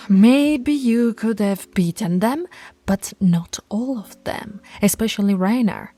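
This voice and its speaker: A woman's voice: medium pitch, lively intonation, slow pace, dark tone, dry recording. A woman voicing a stern, teasing alpha werewolf pack leader in an audio roleplay, authoritative and taunting. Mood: stern, scolding.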